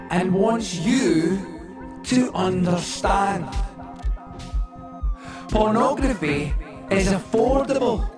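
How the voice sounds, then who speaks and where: A man's voice: high-pitched, full character voice. A man performing a brooding personified memory that preaches in rhythmic, incantatory rhyme in an audio play. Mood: ominous, knowing. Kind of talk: poetic spoken-word monologue in an audio play. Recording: very echoey, distant voice under loud music. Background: music score.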